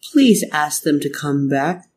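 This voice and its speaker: A man's voice: a little high, lively intonation, takes it slowly. A man voicing a spoiled, emphatic little princess in a children's storybook read-aloud. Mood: pleading. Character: spoiled bossy little princess girl, later contrite.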